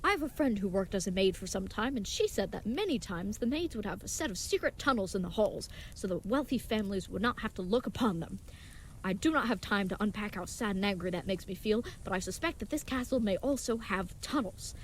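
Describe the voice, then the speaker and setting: Woman doing a brave clueless young knight type, super formal and earnest, talks fast, audio drama. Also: medium pitch, expressive, slight background noise, lightly acted, rough recording. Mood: gallant, confident. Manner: formal, contraction-free, chivalrous, grandly earnest speech. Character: earnest, brave, naive young leatherworker playing knight, cheerfully oblivious. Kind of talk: scripted audio drama acting.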